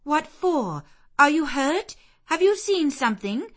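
A kindly young nursemaid from a storybook, asking urgent, worried questions in a high, raised voice, as a woman reader puts her on. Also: animated, slow pace, fairly bright tone, heavily acted. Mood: anxious. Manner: concerned, questioning.

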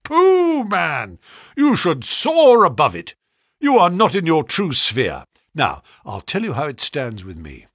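A man voicing a brisk, flattering financial agent in a story, speaking sharply with grand, pushy salesmanship. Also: lowish voice, breathy edge, no echo, clean studio sound, exaggerated voice. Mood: effusive, flattering. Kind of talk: audiobook character dialogue.

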